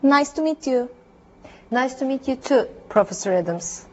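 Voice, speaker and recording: A woman with a high voice works through short practice phrases in a classroom role play. She speaks carefully, one line at a time. The recording is dull and lo-fi. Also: expressive, normal speed. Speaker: language student.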